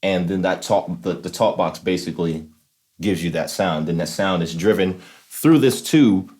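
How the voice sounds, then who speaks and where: A man's voice: low voice, normal speed, a little mellow. A man hosting an instructional demonstration, explaining things informally and often checking in with the listener. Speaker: host of a talk box tutorial series.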